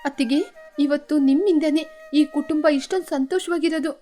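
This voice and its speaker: A woman's voice: high voice, normal speed, warm tone, faint music behind, lightly acted. A woman voicing a poor young widowed sister, frightened and grateful, who pleads tearfully. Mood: pleading.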